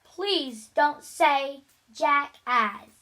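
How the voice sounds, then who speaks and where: Casting: big stern storybook art teacher, male played by a child, strained scolding, very slow delivery. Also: sits high, animated, sharp tone, full character voice. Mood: stern, raised.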